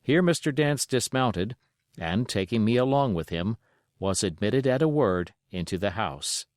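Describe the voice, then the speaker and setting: A man reading a storybook aloud as scripted, expressive narration. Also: on the low side, a little slow, no room sound, studio quality. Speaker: audiobook reader.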